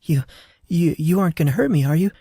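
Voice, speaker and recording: A man's voice: fairly high pitch, fast talker, dark tone, no echo, studio quality, pitched above the performer's own voice. A man voicing a frightened young female nurse with a hesitant, stammering, apologetic delivery in an audio story.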